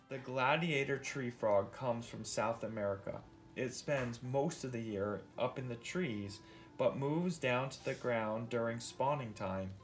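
A man reads a nature picture book aloud in an unhurried way, as a father would to his child. His pitch is mid-range, and music plays behind him. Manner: explains and asks questions as he reads. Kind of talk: interactive read-aloud of a nonfiction picture book.

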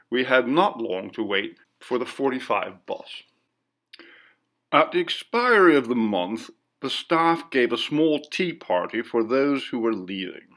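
Man for ghost story narration: formal, measured reader, animated intonation, some vocal fry. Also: medium pitch. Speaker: audiobook reader.

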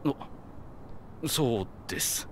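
A man's voice: slow pace, slight background noise, natural voice. An actor playing a young male rookie gangster, hot-headed but nervous and hesitant, in a video game cutscene. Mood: nervous, deferential. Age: young adult. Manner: hesitant, stammering, deferential.

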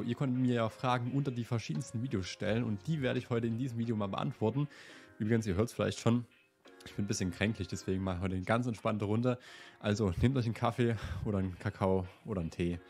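Young guy a bit sick, chill chatty host, lowish voice, faint music behind. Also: vocal fry. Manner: relaxed, chatty, with fillers. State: slightly ill. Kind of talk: casual Q&A vlog. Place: indoor room with plant wall and lit shelves.

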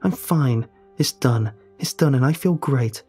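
A man's voice: mid-range voice, expressive, moderate pace, warm tone, husky, soft background music. A man voicing a defiant, sarcastic young woman in an audio story.

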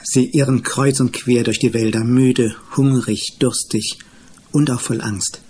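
A man's voice: mid-range voice, fairly even intonation, a little slow, darkish tone, a little hiss or hum. A man narrating a children's radio play in a scripted storytelling style.

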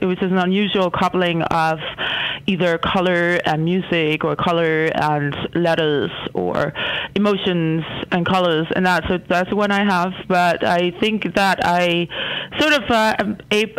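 A woman from Denmark with a Danish accent, speaking haltingly as an academic guest interviewed by telephone. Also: on the low side, smooth, low-quality recording. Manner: hesitant, halting delivery. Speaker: interview guest, philosophy professor.

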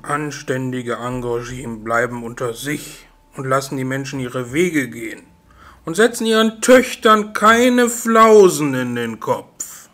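A man plays an old dwarf grandfather in a fantasy audio play. He speaks slowly and sternly, pushing his voice upward. Every phrase is admonishing and full of disapproval. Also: medium pitch, animated, a bit bright, voice pushed up. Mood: stern, indignant. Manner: slow, admonishing. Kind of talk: fantasy audio drama character.

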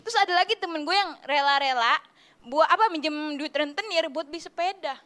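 A young woman doing a stand-up comedy routine in an animated, slangy storytelling way. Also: sits high, brisk. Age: young adult. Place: TV studio stage, indoors. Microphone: handheld microphone.

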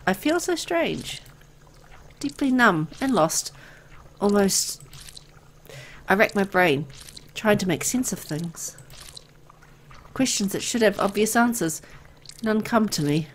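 A woman voicing an anxious, disoriented amnesiac young woman who narrates a horror visual novel in a dramatic, deliberate way. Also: mid-range voice, animated, a little hiss or hum. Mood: dazed.

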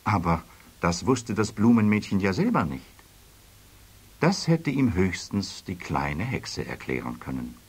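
A man narrating a children's story expressively, with a husky voice. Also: fairly low pitch.

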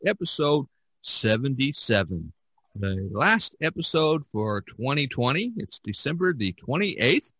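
A man's voice: medium pitch, sharp tone, no room sound. A man hosting a podcast in a casual, chatty style. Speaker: podcast host.

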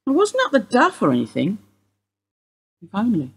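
An actress playing a sharp-tongued, bitter woman in her sixties, wry and sardonic in a radio play. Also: medium pitch, huge pitch swings, moderate pace, husky, heavily acted.

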